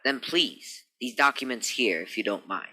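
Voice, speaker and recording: An actor playing a formal, guarded lawyer in an old-time radio crime drama, with a fussy, dismissive manner. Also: male voice, mid-range voice, lively intonation, moderate pace, close to an everyday voice. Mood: evasive, haughty. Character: stiff, proper male attorney, guarded and formal.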